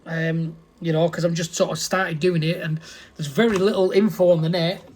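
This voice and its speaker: A man's voice: on the high side, steady tone, normal speed, darkish tone. A man chats as a radio amateur. He is matter-of-fact and rambles a little, restarting and correcting himself as he explains. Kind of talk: casual radio chat.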